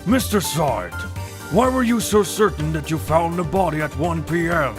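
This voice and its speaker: With music behind him, a man plays a courtroom judge in a slightly high male voice. He sounds formal, measured and inquisitive, as in a courtroom game dub. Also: animated, lightly acted. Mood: questioning. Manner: formal, questioning. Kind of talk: video game fan dub courtroom drama. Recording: music under the voice.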